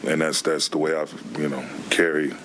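A middle-aged man, a basketball head coach, answering questions at a postgame press conference in a plainspoken, relaxed way. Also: rumbling low, normal speed, background noise. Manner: relaxed, plainspoken, frequent "man" and "you know". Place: press room before a team backdrop. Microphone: table microphone.

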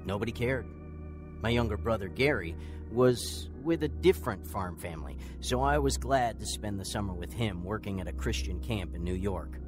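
A man's voice: fairly low pitch, animated, moderate pace, over music, lightly acted. A man acting as narrator of a radio drama, playing someone earnestly recalling his bitter, lonely youth. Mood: reflective. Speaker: narrator and lead actor. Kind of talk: scripted first-person radio drama narration.

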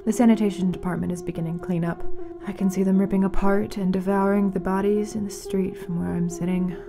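A woman playing a grave, mournful radio announcer in a fiction podcast, speaking solemnly and dramatically with weighted pauses. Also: medium pitch, level tone, music in the background, close to an everyday voice. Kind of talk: scripted fiction-podcast narration as a community radio broadcast.

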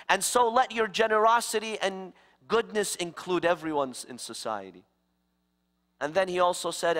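A middle-aged man preaching in a large church sanctuary, his high, bright voice ringing out with long reverb around it. He speaks slowly and emphatically, with dramatic pauses. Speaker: guest preacher. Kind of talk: interfaith sermon. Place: large church sanctuary, standing before the congregation.

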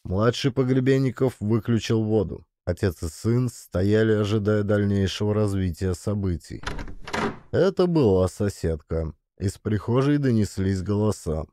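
Dramatic male storyteller with bright-edged mellow voice. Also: fairly low pitch, measured pace, no echo. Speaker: narrator and actor of audio plays. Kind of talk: dramatic scripted narration of a comic audio play.

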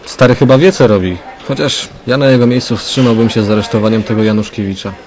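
An actor playing a grumbling, sceptical younger detective chatting colloquially in a crime radio drama. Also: male voice, medium pitch, fast talker, a crowd in the background, lightly acted. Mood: sceptical, casual.

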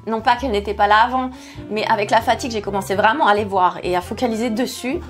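A woman's voice: mid-range voice, fast talker, faint music behind. Chatty self-mocking woman in her forties. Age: 40s. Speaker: skincare YouTuber. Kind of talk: candid personal vlog. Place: home kitchen set, indoors. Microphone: fixed camera, seated at medium distance.

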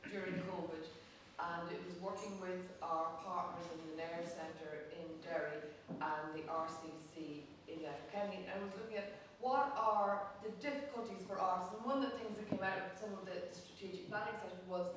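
A woman's voice: lowish voice, a little fast. A woman speaking unscripted at a panel, representing a gallery. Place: indoor gallery event space. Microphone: distant camera. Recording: very echoey room from far off, some noise.

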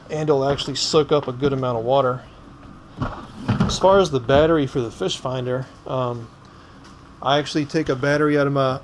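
A man casually walking through his gear item by item, as the host of a hands-on fishing vlog. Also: mid-range voice. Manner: informal, explaining item by item. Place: indoors in a cluttered garage. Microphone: head-mounted action camera. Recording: clicky, noisy action-camera audio.

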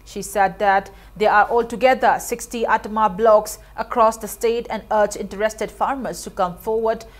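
A woman anchor reading a scripted regional news report in an even, formal newsreader manner. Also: medium pitch, normal speed, hardly any pauses. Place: studio.

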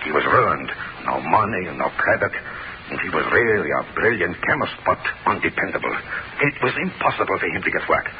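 Deep, swooping, nervous scientist. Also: male voice, sing-song, brisk. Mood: confessing, uneasy. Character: nervous, defensive male scientist doctor. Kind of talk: old-time radio drama acting. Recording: muffled, noisy old-time radio recording.

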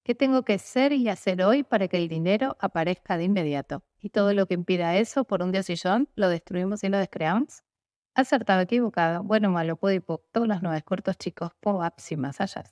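A young woman reciting set clearing phrases in a steady, rhythmic voice-over. Her pitch sits mid-range for a woman, and the recording is close and dry. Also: brisk, no room sound. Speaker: facilitator. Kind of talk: repetitive clearing statements.

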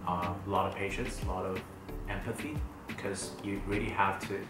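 A man's voice: low-pitched, a little fast. A man in his thirties whose first language is Mandarin, chatting casually on a couch as co-host, with many fillers and restarts. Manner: many fillers and restarts, animated hand gestures. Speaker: co-host of a couple's channel. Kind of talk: casual Q&A chat. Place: bright living room, sitting on a couch. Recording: roomy, distant sound with background music.

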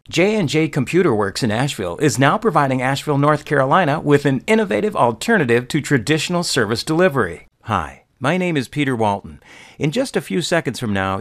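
A middle-aged man reads commercial copy with an upbeat, polished delivery. His voice sits a little high and moves around with plenty of animation. The sound is close and dry. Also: fairly bright tone, no echo. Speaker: voice-over artist. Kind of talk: scripted commercial narration.

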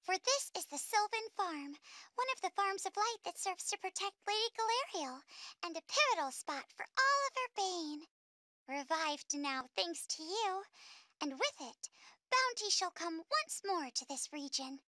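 A woman's voice: very high-pitched, big pitch swings, a little slow, bright, forceful tone, no room sound, clean studio sound, pitched above the performer's own voice, heavily acted. A woman voicing a wise, dignified female fairy lord who speaks formally, over game music. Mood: gracious. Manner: formal, stately speech.

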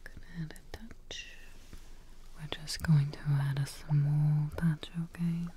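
A woman in her twenties whispering softly and reassuringly in an ASMR roleplay as a kindly witch, close to the mic. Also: sits low, little pitch movement, a little hiss or hum. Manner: hushed, slow, reassuring. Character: kindly witch receptionist brewing a healing potion.

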